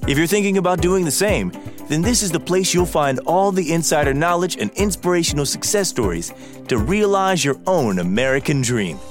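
A man's voice: on the high side, animated, normal speed. A man announcing the show in an upbeat, scripted voice-over. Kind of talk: scripted promo narration. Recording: voice-over with faint music.

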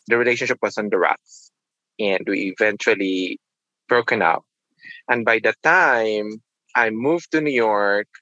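A man, talking in a reflective, halting way as a nurse being interviewed. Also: medium pitch, pushed and bright, no echo, dull audio. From Philippines. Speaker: nurse guest. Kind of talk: casual interview.

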